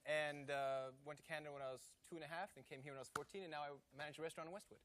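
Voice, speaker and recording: Hungary-born guy on a game show, polite short answers, talks fast, faint hiss. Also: on the high side, fairly even intonation, fairly bright tone.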